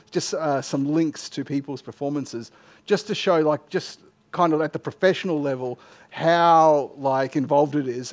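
A man's voice: on the high side, darkish tone. A middle-aged man giving an informal tech talk and answering questions, self-deprecating and often rephrasing mid-sentence. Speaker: conference speaker. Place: indoor lecture room, on stage at a lectern. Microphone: headset microphone.

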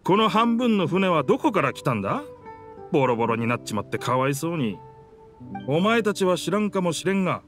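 High, boastful talking pirate ship. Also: male voice, fairly bright tone. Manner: boastful, theatrical, rough masculine speech. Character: talking pirate ship, proud boastful male, hearty and dense. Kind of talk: Japanese game dub dialogue. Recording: music under the dialogue.

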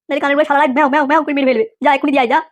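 A woman's voice: high voice, steady tone, racing, lightly acted. A woman voicing a lazy, whiny schoolboy rascal in a comic cartoon, pleading and full of exclamations. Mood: demanding, content.

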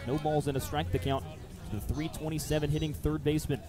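A man's voice: fairly high pitch, fairly even intonation, quick pace, over music. A man calling live baseball play-by-play for radio, mixing clipped phrases with detailed description.

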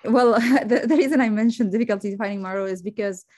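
A woman's voice: medium pitch, quick pace, a little mellow, no room sound, clean studio sound. A woman from Syria introducing herself informally as a panelist, with light laughs as she talks. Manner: laughs lightly while talking. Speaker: panelist, AI startup founder.

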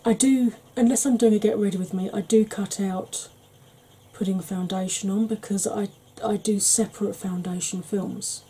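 Soft-spoken woman, Welsh-tinged northern accent. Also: mid-range voice, steady tone, breathy edge, rough recording. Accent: Welsh-tinged northern English. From Wales and Yorkshire. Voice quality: soft-spoken, slow, wry. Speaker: makeup vlogger. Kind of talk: soft-spoken makeup tutorial chat.

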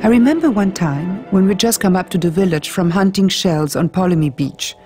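A woman's voice: lowish voice, lively intonation, a little fast, natural voice. Earnest young island woman voiced by a woman, music behind. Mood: reflective, fond. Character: earnest 28-year-old island woman writing an intimate letter. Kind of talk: audiobook letter narration.

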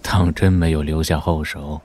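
A man acting a grave, skeptical middle-aged scholar-swordsman in a wuxia audio drama, speaking in a measured, probing way. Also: extremely low pitch, level tone, darkish tone, a little hiss or hum. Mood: questioning.

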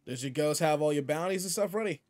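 Guy acting as a laid-back space guardian mentor in a game roleplay, kinda high voice, wry and slangy, teases his partner. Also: fast talker, darkish tone, close to an everyday voice. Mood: teasing, instructing. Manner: casual, slangy, wry, talking to an unheard partner. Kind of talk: video game roleplay audio, in-character monologue.

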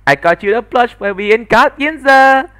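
A man's voice: very high voice, lively intonation, a little slow, sharp tone, voice pushed up, full character voice. A man voicing a bubbly, boastful young woman in visual novel dialogue, perky and exclaiming. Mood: perky excitement.

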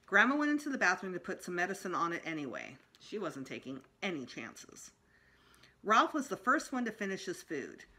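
A woman's voice: lowish voice, sing-song, moderate pace, bright, forceful tone. A middle-aged woman reading a children's book aloud expressively, as for a school storytime. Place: small indoor room at home.

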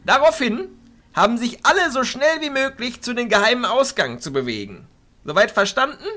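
A man playing a stern, formal archchancellor of mages in a fantasy audio drama, speaking in a commanding, deliberate way. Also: very high-pitched, very animated, sharp tone, faint music behind, heavily acted. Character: stern authoritative male archchancellor of illusionist mages.

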